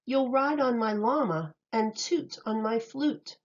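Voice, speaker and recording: A woman reading a rhyming picture book aloud in an expressive, sing-song way meant for young children. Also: a little high, slow, dull audio.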